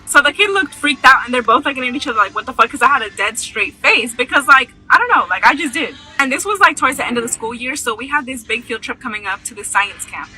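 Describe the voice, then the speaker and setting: A woman's voice: sits high, lively intonation, fast, few pauses, bright, forceful tone, low-quality recording. A young woman telling a casual story in a slangy, emphatic, conversational way. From United States.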